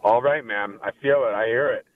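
Raspy male caller on a phone line. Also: mid-range voice, fairly quick. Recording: tinny phone line, faint noise.

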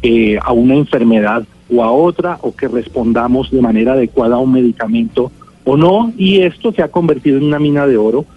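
Husky Colombian man on a phone-like line. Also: mid-range voice, brisk. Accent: Colombian Spanish. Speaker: genetics expert, interview guest. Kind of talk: radio interview, explaining. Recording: narrow phone-like line, music.

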